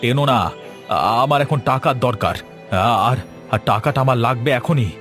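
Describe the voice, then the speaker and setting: A man's voice: medium pitch, moderate pace, a bit bright, soft background music, natural voice. A man voicing a greedy, cheeky younger brother in a village cartoon, pushy and threatening. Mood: taunting, threatening.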